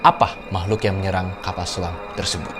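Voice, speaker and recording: A man's voice: deep voice, little pitch movement, fairly quick, music in the background. A man hosting a horror reaction video, giving dramatic, suspenseful commentary with rhetorical questions and exclamations. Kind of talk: dramatic storytelling commentary to viewers.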